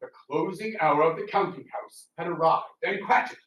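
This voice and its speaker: A man's voice: on the high side, normal speed, a bit bright, lo-fi sound. A man who works as an actor, co-presenting a scripted talk in a dramatic, declamatory manner. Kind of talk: theatrical scripted lecture. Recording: roomy, distant mic, faint noise.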